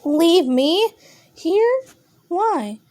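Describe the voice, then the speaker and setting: A woman's voice: very high voice, lively intonation, very slow, a little mellow, voice pushed up, full character voice. A woman voicing an anxious, bewildered male wolf in a storybook, full of exclamations and questions. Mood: alarmed. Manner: exclaiming, questioning, sound words blurted out.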